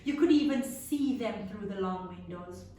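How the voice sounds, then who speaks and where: A woman reading a story aloud to young children in an expressive, dramatic way, drawing out words and sounds and putting questions to her listeners. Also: medium pitch, moderate pace. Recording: very echoey room, distant mic.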